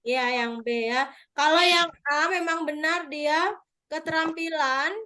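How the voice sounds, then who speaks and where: A woman with a high, light voice leads an online review class. She explains terms step by step, patiently checking answers with her participants over a video call. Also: unhurried, bright, forceful tone. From Madura. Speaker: teacher. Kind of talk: online exam review lesson.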